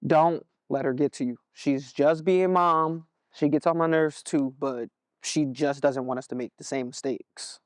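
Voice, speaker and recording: A man's voice: on the high side, steady tone, a little slow, clear, resonant tone, no room sound, clean studio sound, natural voice. A man with an American accent playing a concerned, easygoing older brother in a stage play, speaking casually. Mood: casual, caring.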